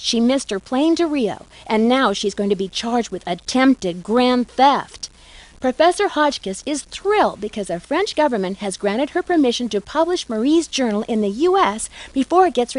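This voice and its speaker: A woman playing a plucky, curious young amateur detective in an adventure game, with an American accent and clear, earnest delivery. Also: on the high side, a little mellow, no echo, close to an everyday voice, poor audio. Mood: cheerful, recounting. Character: Nancy Drew, plucky curious young female amateur sleuth. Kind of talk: scripted game dialogue, thinking aloud.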